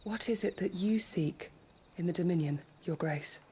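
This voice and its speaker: A woman playing a quiet, loyal attendant. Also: lowish voice, normal speed, a little hiss or hum, close to an everyday voice. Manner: soft, respectful and hushed. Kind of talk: game cutscene acting.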